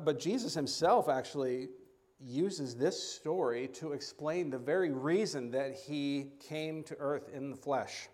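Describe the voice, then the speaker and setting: A man preaching a sermon as a guest speaker, halting and often repeating words, with self-deprecating asides. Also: mid-range voice, darkish tone.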